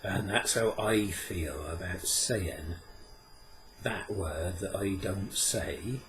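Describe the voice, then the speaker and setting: A man voicing a sly, ancient male sprite who talks in a measured way and sometimes chants in a slow sing-song. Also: deep voice, background noise. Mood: knowing.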